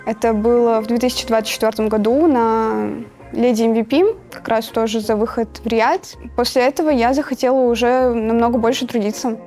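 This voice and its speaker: A woman's voice: a little high, fairly even intonation, a little fast, mellow. A 22-year-old woman from Ufa in Russia, giving casual interview answers as an esports player. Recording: music behind the voice.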